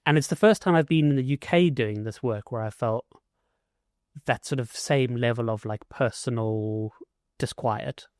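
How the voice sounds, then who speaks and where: A man from South Africa answers interview questions in a casual, searching way, with a steady middle pitch. His speech is full of "like" and "you know" fillers, and he often restarts his sentences. The sound is dry and close. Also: normal speed, clean tone, no echo. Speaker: author and investigative journalist.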